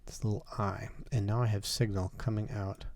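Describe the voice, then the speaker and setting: A man with a lowish, dark and mellow voice walks through a music production tutorial. He explains methodically, one step after another, as an instructor does. The sound is close and very dry. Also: level tone, normal speed, dry recording. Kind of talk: software tutorial, talking through steps.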